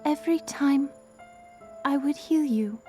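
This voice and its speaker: An actress playing a gentle, devoted young princess who heals the hero, speaking softly in a game cutscene. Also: female voice, sits high, a little slow, soft background music. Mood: tender. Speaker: game character.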